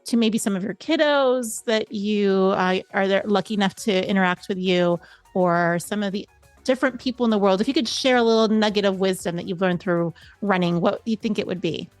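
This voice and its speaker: A woman podcast host in a conversational interview. Her voice sits at a middle pitch and is recorded close and dry. She is chatty and encouraging, spinning out long, wordy questions. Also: a little fast, no echo, soft background music.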